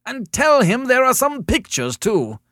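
A querulous novelist in a comic storybook, shrill and high. He wails his grievances emphatically, swinging wildly in pitch as his agitation spills out. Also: sing-song, a little slow, gravelly, fairly bright tone, dry recording, clean studio sound, exaggerated voice. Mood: exasperated. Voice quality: shrill, wailing. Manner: agitated, emphatic, unburdening himself. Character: querulous, hysterical man novelist complaining on the telephone.

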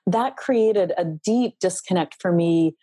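A woman's voice: fairly low pitch, slow, husky, no echo. A middle-aged woman speaking earnestly and reflectively as a guest on a podcast, sharing her healing as a relationship coach. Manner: earnest, reflective, soft-spoken. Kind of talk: heartfelt podcast interview.

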